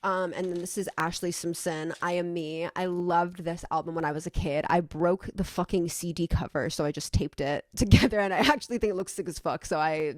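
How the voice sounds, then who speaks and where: A woman's voice: lowish voice, steady tone, fairly quick, hardly any pauses, no room sound. A young American woman rambling casually as a vlogger, effusive and sweary in how she talks. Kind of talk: casual rambling chat to camera.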